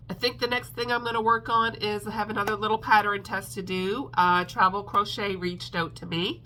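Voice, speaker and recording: A woman's voice: mid-range voice, sharp tone, a little hiss or hum. A woman crochet vlogger chatting casually at home, stuffed up and congested, rambling with lots of ums and little laughs. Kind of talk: casual chat to camera.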